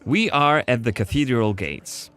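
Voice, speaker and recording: A man's voice: medium pitch, animated, measured pace, fairly bright tone, smooth. A man presenting a game in scripted voice-over narration. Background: faint music.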